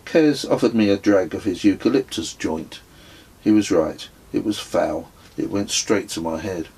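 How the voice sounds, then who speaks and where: A man reading his own memoir as an audiobook, with husky, measured, descriptive narration. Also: deep voice, a little mellow. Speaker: author reading own book.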